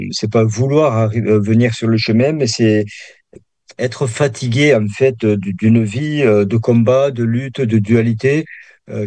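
A man in his sixties with a southern French accent speaks as a guest on a radio show. His voice sits low and dark, and he talks in a halting way, often restarting his sentences. The sound is dry and close to the mic. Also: dry recording. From Perpignan, southern France. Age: 60s. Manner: hesitant, many restarts and fillers. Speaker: guest, meditation teacher. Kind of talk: radio interview.

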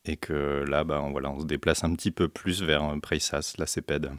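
A man with a very low voice answers questions on a local radio show. He hesitates a lot, restarting sentences and stringing fillers together. The studio sound is dry and close. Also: flat delivery, moderate pace, no room sound. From central France, Orléans area. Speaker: guest, beekeeper and deacon. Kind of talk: radio interview.

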